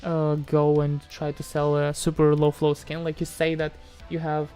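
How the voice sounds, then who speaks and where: A man's voice: a little high, monotone, normal speed, dark tone, smooth. Casual male streamer from Moldova, music behind. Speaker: live streamer answering chat questions. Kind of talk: casual livestream chat.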